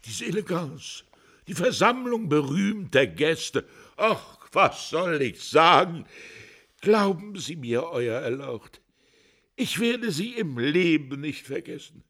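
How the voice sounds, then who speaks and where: An actor playing a tipsy, servile gentleman in a radio play, fawning and effusive, with a husky voice. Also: fairly high pitch, sing-song, slow pace, exaggerated voice. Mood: gushing, sentimental. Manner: effusive, fawning, savoring each phrase.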